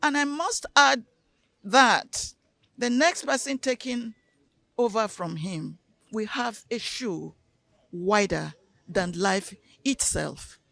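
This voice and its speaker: Woman, passionate and emphatic, high voice, slow. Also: creaky, a bit bright, dry recording. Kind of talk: passionate speech.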